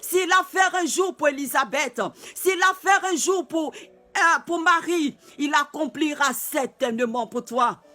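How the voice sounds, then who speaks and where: A man leading a fervent spoken prayer, repeating phrases and often calling out loudly, with soft music behind him. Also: extremely high pitch, unhurried. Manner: fervent, repetitive, often shouted. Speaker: pastor. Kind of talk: prayer and worship exhortation.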